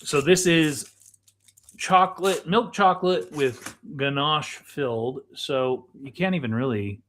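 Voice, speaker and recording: A man's voice: a little high, unhurried, a bit bright, slight background noise. A middle-aged man, co-hosting a casual, chatty podcast with food tasting. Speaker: podcast co-host. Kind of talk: casual chat, snack tasting.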